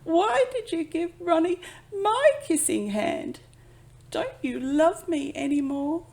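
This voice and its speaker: Storybook young raccoon boy, played by a woman: high, animated, plaintive, small and jealous. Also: takes it slowly, creaky, exaggerated voice. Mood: hurt, pleading. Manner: pleading, plaintive.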